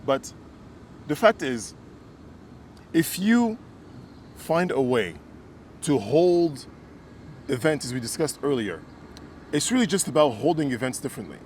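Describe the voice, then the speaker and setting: A man's voice: mid-range voice, a little mellow, slight background noise. A man from Kinshasa co-hosting a casual conversation, earnest and emphatic, often repeating words as he builds a point. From Kinshasa, Congo. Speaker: co-host.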